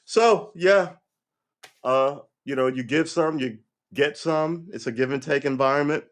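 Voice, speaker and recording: A man in his fifties in a rambling, reflective monologue, full of restarts and "you know", and his pitch jumps when he gets worked up. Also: a little slow. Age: 50s. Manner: lively pitch swings when animated. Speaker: vlogger. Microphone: livestream setup.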